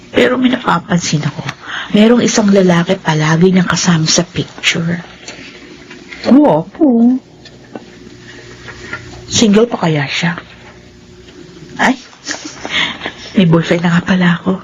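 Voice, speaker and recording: A flippant grown daughter, medium in pitch and offhand in delivery. She sounds breezy and a bit sassy, in radio drama style. The recording is slightly dull with faint noise. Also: darkish tone. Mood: musing, dreamy. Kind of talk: Filipino radio drama acting.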